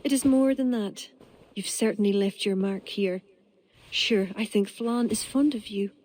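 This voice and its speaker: Brief: actress as a sly young Irish woman, court fixer, teasing and wry, mid-pitched. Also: unhurried, a little mellow, lightly acted. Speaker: Irish royal's aide. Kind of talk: video game cutscene acting.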